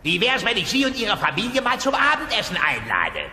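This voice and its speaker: A man's voice: high-pitched, wildly swooping pitch, brisk, pushed and bright, echoey room, long reverb tail, faint music behind, exaggerated voice. A man playing a bullying, wicked dinosaur company boss in a comedic audio drama, curt and domineering. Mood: domineering, impatient.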